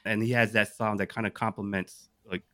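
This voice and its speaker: A man's voice: on the low side, little pitch movement, a little fast, fairly bright tone, dry recording. Man chatting casually in a dry, close recording. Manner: enthusiastic, uses rhetorical questions. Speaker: podcast co-host. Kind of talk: casual chat, pitching a list of picks to the group.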